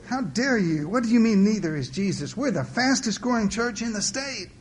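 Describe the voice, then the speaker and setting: A haughty churchwoman as a male storyteller acts her out. The voice is raised high and loud, snapping and shrieking. Every phrase sounds indignant and affronted. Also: slow pace, slight background noise. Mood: outraged.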